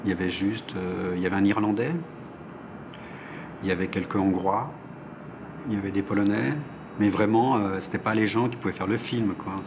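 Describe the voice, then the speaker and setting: Deep guy casually telling work memories in an interview, lots of noise behind. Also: fairly even intonation, a little fast, long pauses. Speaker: interviewee, animator. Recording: noisy ambience behind voice.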